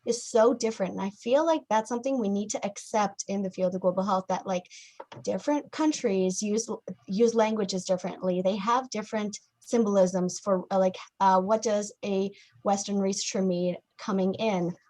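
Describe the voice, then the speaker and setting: Young woman, moderator, friendly, affirming, mid-pitched, video call. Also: normal speed, darkish tone, low-quality recording. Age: young adult. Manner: friendly, affirming, some hesitations. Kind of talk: casual moderating.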